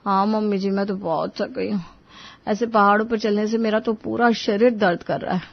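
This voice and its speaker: A woman's voice: mid-range voice, fairly even intonation, moderate pace, vocal fry, highs cut off. A woman playing a tired young wife and mother in a cartoon story, conversational and mildly complaining. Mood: exhausted. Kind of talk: Hindi moral-story cartoon dubbing.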